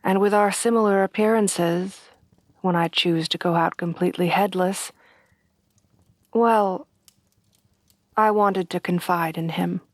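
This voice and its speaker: A woman playing a refined, tender headless horsewoman bride in a soft-spoken ASMR roleplay, with formal, genteel phrasing. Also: fairly low pitch, steady tone, unhurried, pauses often, no room sound, natural voice. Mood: confiding. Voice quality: soft, breathy at times.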